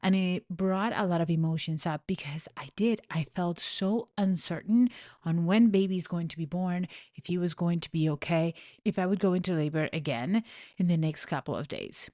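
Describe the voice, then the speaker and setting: A woman's voice: on the low side, moderate pace, no room sound, clean studio sound. A pregnant woman in her thirties from Venezuela, hosting a faith-based coaching podcast in an earnest, heartfelt way. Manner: earnest, heartfelt, with soft laughs. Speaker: podcast host, life coach. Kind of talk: personal reflective podcast talk.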